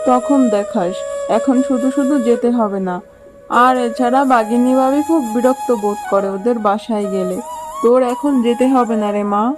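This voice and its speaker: A woman's voice: on the high side, moderate pace, close to an everyday voice. A woman voicing a practical, indulgent fox mother who scolds, in a children's cartoon. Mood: cautioning. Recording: music behind the voice.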